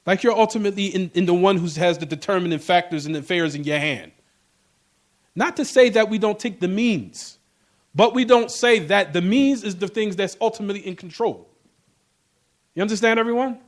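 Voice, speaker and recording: A man's voice: high-pitched, a little fast. A man giving an informal Islamic lecture, emphatic and repetitive, often checking in with rhetorical questions. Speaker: religious teacher giving a class.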